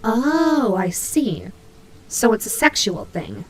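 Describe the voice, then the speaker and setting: A woman's voice: fairly high pitch, wildly swooping pitch, slow pace, slight background noise, heavily acted, low-quality recording. A woman playing a prickly but caring female nightmare demon rabbit in an audio roleplay, speaking to the listener with hesitant, teasing, flustered delivery. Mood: teasing, amused.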